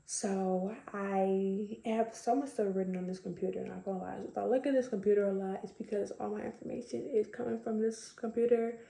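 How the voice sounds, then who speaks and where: A young woman with a slightly raspy voice, chatting casually to camera with lots of fillers. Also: mid-range voice, steady tone, a little fast, few pauses, dark tone, reverberant. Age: young adult. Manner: chatty, conversational, many fillers. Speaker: vlogger.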